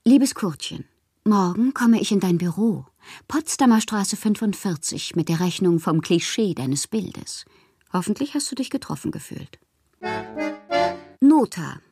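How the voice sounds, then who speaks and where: A woman's voice: mid-range voice, lively intonation, a little slow, dark tone, breathy edge, no echo, studio quality. An actress playing an impassioned, proud and whimsical woman poet who reads out her letters theatrically in a radio drama. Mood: playful, whimsical. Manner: theatrical, capricious, swinging from wounded to playful.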